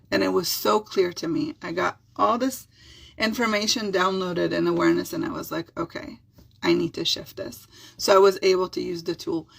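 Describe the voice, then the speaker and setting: A middle-aged woman whose first language is Hebrew, speaking with an Israeli accent and giving a soft, soothing self-help talk as a spiritual healer. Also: on the low side, creaky, slightly rough.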